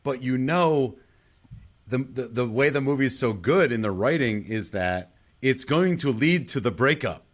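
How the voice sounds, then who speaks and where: A male podcast host with an average-pitched voice. He talks casually about film in a conversational style and passes questions on to the other speakers. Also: animated, unhurried.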